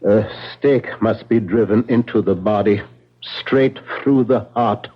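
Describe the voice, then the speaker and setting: An actor playing a grave, commanding professor who hunts vampires in a 1970s radio drama, solemn and emphatic. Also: male voice, fairly low pitch, measured pace, slightly rough. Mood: grim. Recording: dull 1970s radio broadcast.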